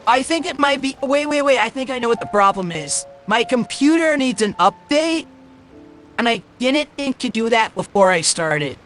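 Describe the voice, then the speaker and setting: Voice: medium pitch, expressive, normal speed, a bit bright. Blunt grumbly streamer talking off the cuff while gaming, voice changer on, bit of music in the back. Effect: possible voice changer. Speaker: game streamer. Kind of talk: casual livestream gaming commentary. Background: game music.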